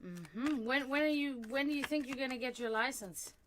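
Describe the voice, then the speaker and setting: A woman born and raised in Germany, with German as her first language, chatting warmly as she reads tarot cards for viewers on a live stream. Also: high voice, moderate pace, slight background noise. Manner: chatty, animated, laughs often, talks to chat.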